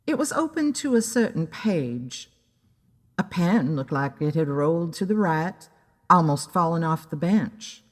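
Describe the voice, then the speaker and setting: A woman narrates a pulp tale in a deep female register. Her delivery is unhurried and swings widely in pitch. The sound carries a long reverb tail. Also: lively intonation. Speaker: story narrator. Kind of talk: scripted narration of a pulp story. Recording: long reverberant hall sound, faint music.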